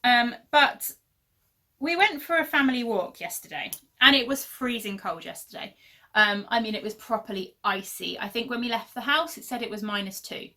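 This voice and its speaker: A woman's voice: on the high side, animated, moderate pace, bright, forceful tone. A woman in her thirties, hosting a casual knitting podcast from home, chatty and rambling with lots of fillers, her voice a little worn. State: losing her voice. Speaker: podcast host. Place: at home, indoors.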